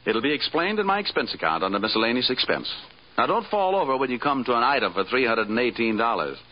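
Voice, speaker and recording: An actor playing a cynical, wisecracking insurance investigator with deadpan delivery in an old radio detective drama. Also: male voice, mid-range voice, fast. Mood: wry, amused. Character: wry, cynical hard-boiled male insurance investigator. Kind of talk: 1940s radio detective drama acting and narration. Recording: muffled old radio recording with loud music.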